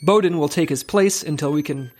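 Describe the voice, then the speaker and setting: A man's voice: a little high, brisk, lightly acted. A man playing a dry, official special agent in a comedy audio drama, speaking in a matter-of-fact way. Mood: resolute.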